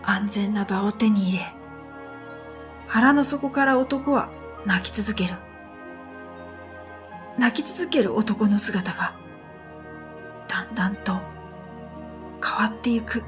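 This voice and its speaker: A woman narrating a scripted first-person radio drama in a gentle, unhurried way, with breathy phrase endings. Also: mid-range voice. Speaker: narrator and storyteller. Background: new-age music.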